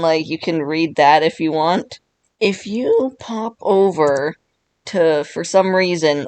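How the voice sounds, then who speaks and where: A young woman with a raspy voice from being sick, chatting casually and laughing often as a YouTuber. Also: lowish voice, no echo. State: sick, voice worn. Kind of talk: casual commentary while chatting with an AI chatbot.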